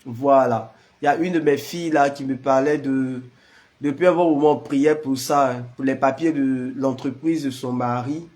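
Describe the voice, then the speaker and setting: A man's voice: medium pitch, fairly even intonation, normal speed, darkish tone. A man with the flu, a prayer leader chatting casually with live-stream viewers and greeting them by name. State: has the flu.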